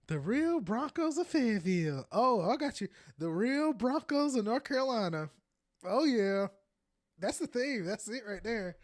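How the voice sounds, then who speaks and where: A man's voice: high voice, no room sound, studio quality. A man in his thirties hosting a podcast, chatting casually and animatedly as he browses and reads aloud, laughing often. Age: 30s. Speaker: podcast host. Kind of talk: casual commentary while browsing and reading aloud.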